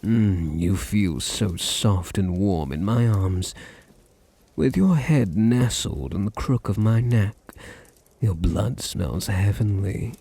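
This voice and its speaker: A man with a deep British voice playing a possessive, dominant vampire master in an intimate ASMR roleplay, teasing and condescending, with a gravelly, husky murmur. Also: mellow, exaggerated voice. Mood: doting, hungry. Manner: slow, teasing, condescending, drops into breathy murmurs.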